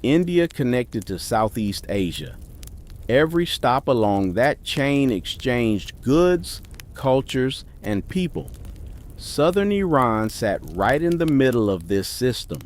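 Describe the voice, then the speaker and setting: Male documentary narrator, animated pitch, measured and emphatic. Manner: measured, emphatic list delivery.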